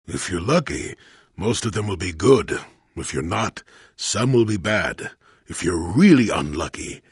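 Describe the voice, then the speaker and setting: A man playing a crotchety, wry old hermit in a video game, with a gravelly, husky voice and a sardonic storyteller's manner. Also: deep voice, no room sound, studio quality, heavily acted. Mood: weary, somber. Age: older. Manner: cantankerous, sardonic, rambling storyteller. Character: crotchety old male hermit, former Jedi, wry and weary. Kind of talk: video game character acting.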